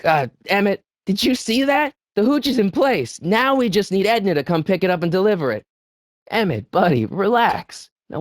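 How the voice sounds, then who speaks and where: A man's voice: dry recording, clean studio sound. A young man with an American accent voicing an earnest, nervous teenage boy in a video game, youthful in tone and hesitant, slangy in delivery. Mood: eager, scheming. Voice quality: youthful, high-pitched for a man. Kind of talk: video game character dialogue.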